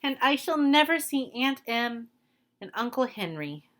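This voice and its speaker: A woman voicing a gentle, worried young girl who sounds plaintive. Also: sits high, sing-song, normal speed, pitched above the performer's own voice.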